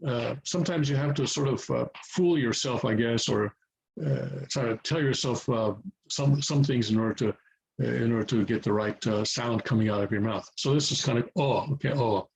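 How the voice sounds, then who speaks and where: A man's voice: medium pitch, moderate pace, vocal fry, darkish tone, poor audio. A man whose first language is English, patiently teaching how to read Korean letters in a casual home tutorial, repeating himself and checking in often. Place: home near a highway, passing traffic.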